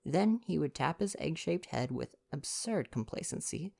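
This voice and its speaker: Gentle young man with creaky voice. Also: fairly high pitch, expressive, normal speed. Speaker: audiobook-style reader and ASMR performer. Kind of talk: soft bedtime story reading, ASMR.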